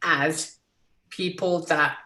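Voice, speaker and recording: A woman's voice: deep voice, steady tone, drawn-out words, bright, forceful tone, roomy sound. A woman whose first language is Swedish, a guest teacher who chats in a nervous, hesitant, self-deprecating way. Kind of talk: casual chat.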